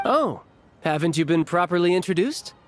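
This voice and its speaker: An actor playing a cordial young male high-ranking agent in a video game, talking in a casual, glib way. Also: animated, moderate pace. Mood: reluctant explaining. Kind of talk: video game cutscene acting. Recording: background music under the voice.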